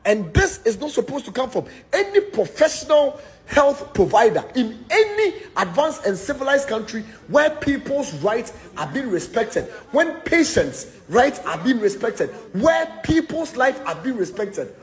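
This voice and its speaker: High, animated Ghanaian man, indignant. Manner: indignant, emphatic, halting repetitions. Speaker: citizen making a complaint. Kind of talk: impassioned spoken complaint to camera.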